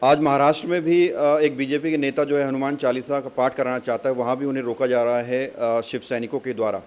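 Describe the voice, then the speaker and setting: A male reporter with an average-pitched voice conducts a news interview. He probes and challenges, framing his questions around counterpoints. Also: fairly even intonation, a little fast, a little mellow, smooth.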